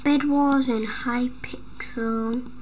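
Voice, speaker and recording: Brief: hoarse woman gaming host, unhurried rambling delivery, higher voice, noisy recording with clicking behind her. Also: fairly even intonation, darkish tone. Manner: rambling, with startled high exclamations. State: losing her voice. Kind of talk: casual gameplay commentary.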